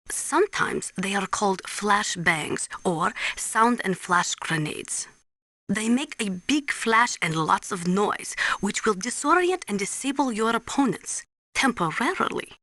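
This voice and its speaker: A woman playing a tough, earnest Ukrainian nuclear weapons analyst in a video game, speaking deliberately and instructively with a Ukrainian accent. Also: mid-range voice, sharp tone, no echo. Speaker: military analyst and nuclear specialist. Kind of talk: scripted game voice acting, briefing.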